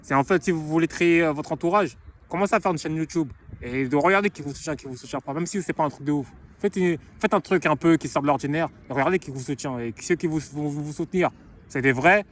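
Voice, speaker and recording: A man's voice: a little high, fast talker. A young man talking casually in a vlog, slangy and rambling, restarting and repeating phrases. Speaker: YouTuber promoting his book.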